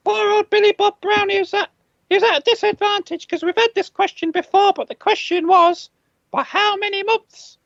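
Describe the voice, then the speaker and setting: A woman's voice: very high-pitched, fairly even intonation, moderate pace, creaky, no room sound, dull audio. A woman co-hosting a comedy podcast, teasing and chatty as she keeps score. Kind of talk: comedy quiz chat.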